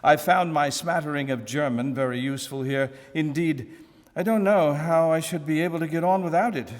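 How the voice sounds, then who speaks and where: A man reading a classic novel aloud at a live museum event, in a measured, expressive first-person narration. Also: fairly high pitch. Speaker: reader. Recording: long hall reverb.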